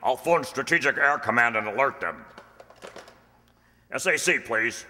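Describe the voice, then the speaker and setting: Radio drama general male actor: suspicious, blustering, pompous, animated delivery, echoing hall sound. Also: mid-range voice, a little slow. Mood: businesslike. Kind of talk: comic science-fiction radio play acting. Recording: echoey hall with long reverb.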